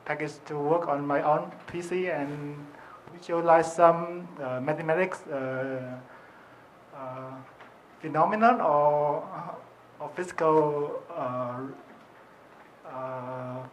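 A man from Shenzhen introducing himself at a workshop. He speaks slowly and haltingly, pausing on many fillers. A faint noise sits behind him. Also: fairly high pitch, low-quality recording. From Shenzhen, China. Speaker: participant. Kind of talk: self-introduction.

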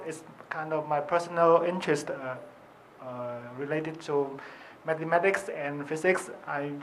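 A man from Shenzhen introducing himself at a workshop. He speaks slowly and haltingly, pausing on many fillers. A faint noise sits behind him. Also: fairly high pitch, low-quality recording. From Shenzhen, China. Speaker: participant. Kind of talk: self-introduction.

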